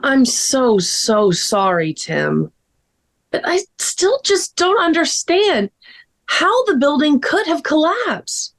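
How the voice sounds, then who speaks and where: A woman reading the part of a sympathetic, concerned friend in a drawn-out, expressive way at a table read. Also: high-pitched, heavily acted. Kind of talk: table read of a scripted story.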